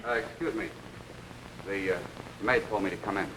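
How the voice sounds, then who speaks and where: A man acting as a courteous, questioning detective in a 1950s television mystery, with small hesitant pauses. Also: sits low, natural voice. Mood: grave, courteous. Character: Ellery Queen, probing, courteous male detective. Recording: noisy old 1950s television soundtrack.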